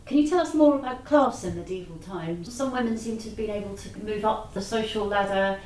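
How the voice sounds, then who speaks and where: A woman interviewer asks questions conversationally. She sounds away from the microphone in an echoey space, with slight noise, and her pitch is average. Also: brisk, a little mellow. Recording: echoey, distant mic, faint noise.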